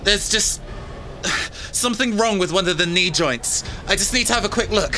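Man playing an earnest young pilot, loud noise. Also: high-pitched, normal speed, natural voice. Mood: worried, determined. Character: earnest, stubborn young male robot pilot. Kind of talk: science-fiction audio drama acting.

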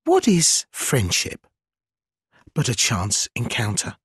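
A man voicing a sly, gleeful spider creature in a gothic fantasy game, husky and theatrical, with wheedling, archaic phrasing. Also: mid-range voice, huge pitch swings, takes it slowly, a bit bright, no room sound, studio quality, heavily acted. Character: sly, gleeful, obsequious spider-bodied trickster zealot.

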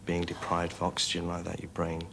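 A young man looks back in an interview. His voice is very deep and rather flat, with clear noise behind him. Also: a little fast. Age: young adult. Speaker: interviewee, rescued caver. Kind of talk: reflective interview recollection.

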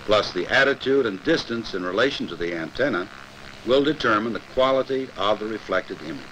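An American man narrating a 1960s educational film in a measured, formal, explanatory way from a script. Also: on the low side, fairly bright tone, rough recording. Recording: hissy old 1960s film soundtrack.

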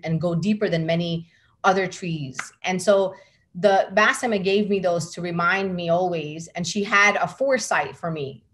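Emphatic woman on a video call. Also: fairly low pitch. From United States. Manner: passionate, emphatic, repeats words when building a point. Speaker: guest. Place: home room with curtains behind. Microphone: laptop webcam on video call.

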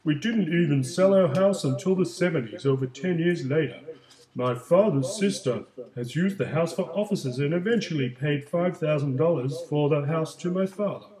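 A man playing an older male Chilean migrant who tells his story in a plain, steady way, in a school project role-play interview. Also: fairly high pitch, normal speed, reverberant, natural voice, rough recording. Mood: calm.

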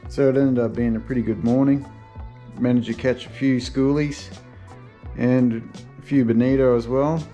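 A young Australian man giving relaxed, mumbled running commentary to himself while fishing from a kayak at sea, recorded on a mounted action camera. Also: medium pitch, normal speed. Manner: relaxed, mutters to himself, laid-back slang. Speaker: fishing vlogger. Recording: music playing under the voice. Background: music over the talk.

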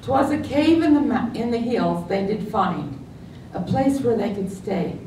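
Older lady reading a cheeky poem, slow and lively, echoey room far from the mic. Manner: lively, playful storytelling lilt. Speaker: poet at open mic. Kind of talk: humorous poetry reading. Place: library meeting room, at a podium. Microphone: stand mic, far camera. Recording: echoey room, distant mic, faint rumble.

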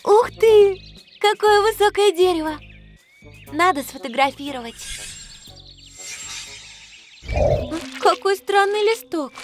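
A spirited young cartoon girl with a very high voice. She is caring and eager, talking in exclamations. Music plays clearly behind her. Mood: alarmed. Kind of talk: animated children's cartoon dub.

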